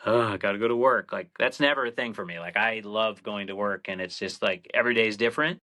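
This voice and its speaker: Man, late 30s, faint Boston accent, bright mid-pitched voice, rambling casual guest. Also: fast. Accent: slight Boston. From Massachusetts (Cape Cod). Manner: rambling, heavy filler "like", animated quotes. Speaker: radio programmer, podcast guest. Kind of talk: casual podcast conversation.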